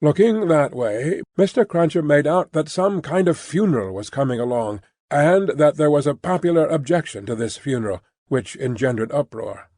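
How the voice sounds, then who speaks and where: Higher-voiced man narrating a classic novel. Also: lively intonation, a little mellow, no echo, studio quality. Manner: measured, formal storytelling. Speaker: audiobook narrator. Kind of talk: scripted literary narration.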